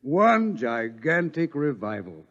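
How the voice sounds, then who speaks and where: An actor playing an articulate, commanding but anxious scientist in a 1950s radio drama, explaining things emphatically. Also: male voice, mid-range voice, very animated, slow, a bit bright. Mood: grave explaining. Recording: muffled 1950s radio recording, reverberant hall.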